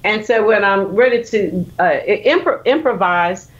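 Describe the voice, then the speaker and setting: A woman's voice: medium pitch, moderate pace. A woman from South Louisiana, talking animatedly about music, laughing often and restarting her sentences. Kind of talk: casual interview chat. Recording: muffled lo-fi line.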